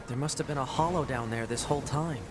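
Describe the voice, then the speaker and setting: A man's voice: medium pitch, fast, natural voice. A man voicing an earnest, inquisitive young male android soldier in a video game, youthful and matter-of-fact. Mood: curious. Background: game music.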